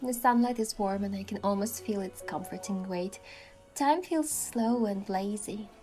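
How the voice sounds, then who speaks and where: A woman reading scripted first-person story narration in an expressive way, acting out the lines as a heroine. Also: medium pitch, measured pace, soft background music. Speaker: narrator voicing a game's heroine.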